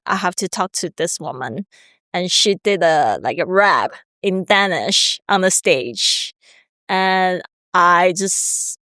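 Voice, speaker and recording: A woman's voice: medium pitch, clean tone, dry recording, studio quality. A woman hosting a casual interview, speaking in an admiring, gushing way. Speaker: host, interviewer.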